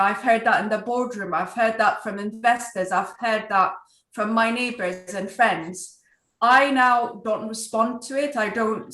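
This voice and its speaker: A woman's voice: medium pitch, a bit bright. A woman from Ethiopia speaking earnestly as a guest on a virtual panel, with a strong voice. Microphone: video call. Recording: echoey room over a video call.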